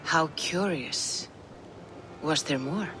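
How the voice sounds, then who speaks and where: An actress playing a wise, gentle Norse seer in a video game, giving calm, probing, portentous counsel. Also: female voice, low voice, slow, music in the background. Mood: grave counsel. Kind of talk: dramatic video game cutscene acting.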